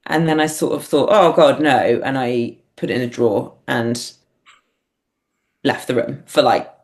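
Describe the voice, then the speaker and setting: A woman's voice: a bass voice, measured pace, a little mellow. A woman talking casually and reflectively as an interview guest, hesitant and self-deprecating as she thinks aloud. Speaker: podcast guest, writer and performer.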